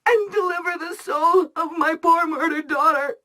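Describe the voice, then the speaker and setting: A woman playing an anguished, penitent mother in a video game, praying in a breathy, pleading half-whisper. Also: very high voice, normal speed, bright, forceful tone. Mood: hushed, penitent. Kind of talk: dramatic video game monologue.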